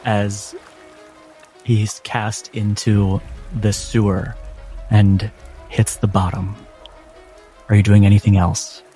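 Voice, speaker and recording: Slow, low-voiced man narrating over music. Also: little pitch movement, mellow. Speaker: game master. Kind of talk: cinematic tabletop game narration. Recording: music behind the voice. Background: clear music.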